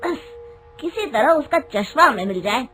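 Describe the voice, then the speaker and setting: A man voicing a pleased, scheming village man in a cartoon story, with an eager, brisk manner. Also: extremely high pitch, very animated, sharp tone, soft background music, heavily acted.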